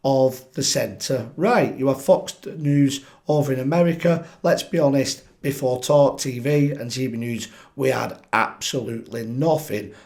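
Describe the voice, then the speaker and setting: Croaky-voiced male vlogger, mid-range pitch, opinionated and direct to camera. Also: a little slow, few pauses. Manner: animated, asks viewers directly, frequent restarts. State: croaky voice from chest infection. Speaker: political commentary channel host. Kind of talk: opinionated solo commentary to camera.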